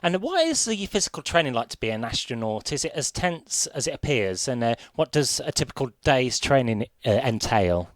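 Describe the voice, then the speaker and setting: A British man asking questions as a radio interviewer in an informal, halting, hesitant way. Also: mid-range voice, sing-song, no echo.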